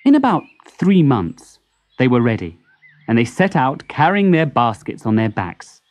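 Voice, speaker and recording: A woman reading a children's story expressively and deliberately, with dramatic pauses. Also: a bass voice, very animated, a little mellow, slight background noise.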